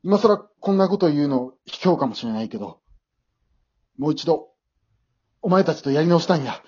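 Middle-aged dad, played by a man, remorseful, hesitant, flustered; mellow, animated pitch, for amateur radio drama. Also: normal speed, husky, dull audio. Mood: pleading. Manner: hesitant, stammering, easily flustered. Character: awkward, remorseful middle-aged father seeking his family back.

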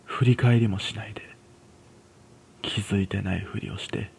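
A man voicing a serious, cautioning young woman who senses spirits, in a hushed horror story. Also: on the low side, fairly even intonation, moderate pace, creaky, slight background noise. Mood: urgent, hushed. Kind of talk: radio horror story dialogue.

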